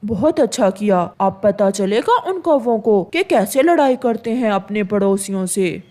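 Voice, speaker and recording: A woman's voice: mid-range voice, lively intonation, moderate pace, darkish tone, lightly acted. A woman voicing a protective, aggrieved father bird in a cartoon, mild but firm and earnest. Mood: satisfied. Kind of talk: Hindi cartoon dialogue.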